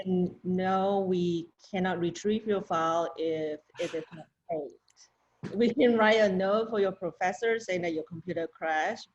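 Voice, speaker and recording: A woman from Malaysia speaks as a podcast guest, recounting her path in a measured, conversational way. Her voice sits on the lower side, and the remote call audio comes through dull and lo-fi. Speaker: university program director. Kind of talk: podcast interview.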